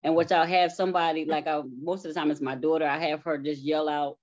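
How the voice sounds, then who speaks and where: A woman's voice: low-pitched, flat delivery, fast, darkish tone, no echo, dull audio. A woman explaining things casually and step by step as a guest in an interview. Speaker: stage play cast member, interview guest.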